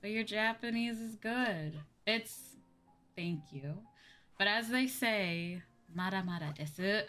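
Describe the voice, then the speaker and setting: A woman's voice: medium pitch, slow, fairly bright tone, faint music behind. A woman livestreamer chatting casually and informally, thinking aloud as she goes. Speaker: livestreamer and VTuber host.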